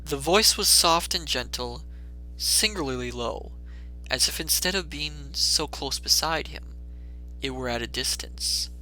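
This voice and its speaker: Very bright, forceful male storyteller. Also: on the high side, unhurried, slight background noise, rough recording. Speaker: narrator. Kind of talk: dramatic audiobook narration of a classic story.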